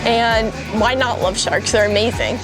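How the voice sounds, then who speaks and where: A woman scuba diver introduces herself to camera with cheerful enthusiasm. Her voice sits a little high, and music plays clearly behind her. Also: moderate pace, poor audio. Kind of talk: casual self-introduction to camera.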